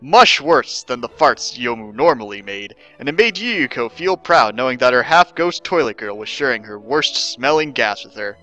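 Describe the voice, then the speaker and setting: A man's voice: medium pitch, sing-song, normal speed, few pauses, pushed and bright, soft background music. A man giving a mock-dramatic scripted story reading as narrator, theatrical and emphatic.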